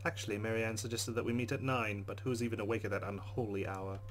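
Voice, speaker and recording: A man's voice: on the low side, steady tone, fast talker, a little hiss or hum. A man playing a visual novel, reading its narration aloud and adding casual commentary. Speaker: let's player. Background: faint music.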